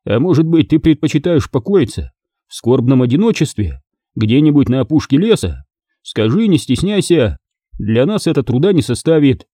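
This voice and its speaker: A man's voice: medium pitch, very animated, normal speed, dark tone, slightly rough, no room sound, clean studio sound. Man playing a menacing, sardonic male thug. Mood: mocking menace. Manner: sardonic, taunting, domineering. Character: menacing male thug, mocking, cold-blooded interrogator.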